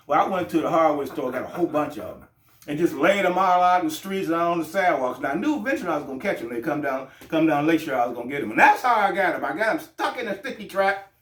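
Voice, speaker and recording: Male host, swears and jokes, slightly higher voice, echoey room. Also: a bit bright, distant mic. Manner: loose, joking, profane, with frequent restarts. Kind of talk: casual show talk.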